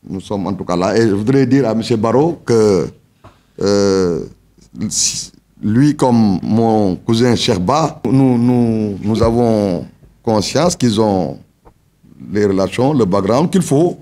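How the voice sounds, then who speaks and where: A man's voice: lowish voice, expressive, slow, dark tone. A man, a member of parliament, giving a formal speech with stretched emphatic words and some restarts.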